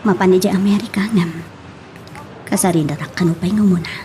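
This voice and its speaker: A woman's voice: lowish voice, fairly even intonation, a little fast, warm tone, a little hiss or hum, natural voice. A woman playing a hurt, stubborn young woman wronged in love in an Ilocano drama. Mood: reluctant. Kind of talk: Ilocano radio-style drama acting.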